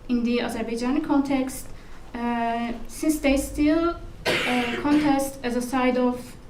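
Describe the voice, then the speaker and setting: A woman's voice: fairly high pitch, fairly even intonation, takes it slowly. A Turkish-accented woman answering as a conference panelist, hesitant and searching for her words in English. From Turkey. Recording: echoey room, distant mic, faint noise.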